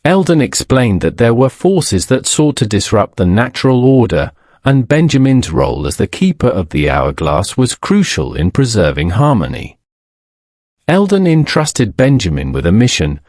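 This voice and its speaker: A man reading a scripted bedtime story as a narrator, in a measured, solemn storybook manner. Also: lowish voice, a little mellow, no echo, clean studio sound.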